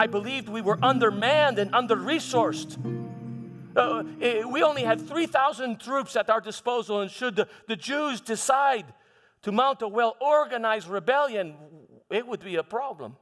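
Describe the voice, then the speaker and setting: A man playing a proud, exasperated Roman governor in a theatrical first-person stage monologue, halting and repeating words for emphasis as he tells his story. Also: high voice, fairly bright tone. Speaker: church dramatist performing a monologue. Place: church hall before an audience. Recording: echoey church hall, music behind.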